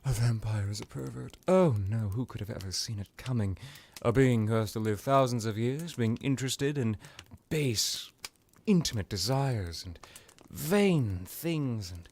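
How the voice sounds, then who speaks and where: Man acting as an ancient seductive vampire lord, low and unhurried. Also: lively intonation, darkish tone, husky, exaggerated voice. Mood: mock dramatic. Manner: slow, theatrical, drifting into whispers. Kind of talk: ASMR roleplay monologue.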